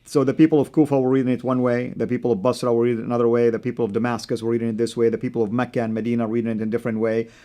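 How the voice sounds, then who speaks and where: A Saudi-born man, a native Arabic speaker with an American accent, hosting a studio discussion in a measured way with many fillers. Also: mid-range voice, level tone, dark tone. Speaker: host.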